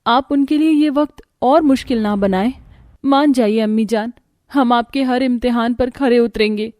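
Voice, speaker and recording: A woman voicing a gentle, deferential young bride in a cartoon family story. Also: a little high, normal speed, no echo, studio quality, lightly acted. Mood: pleading.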